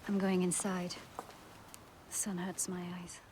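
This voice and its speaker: A woman playing a patient, soothing wife and mother in a film drama, speaking softly. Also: on the low side, flat delivery, a little slow, noisy background, close to an everyday voice.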